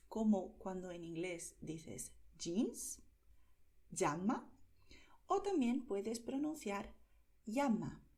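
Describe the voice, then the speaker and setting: A woman from Spain with a Madrid accent teaching Spanish pronunciation, demonstrating words carefully, sometimes softly, and checking in with "¿vale?". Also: mid-range voice. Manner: demonstrates words, often softly whispered, asks "¿vale?" constantly. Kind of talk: slow, careful pronunciation lesson.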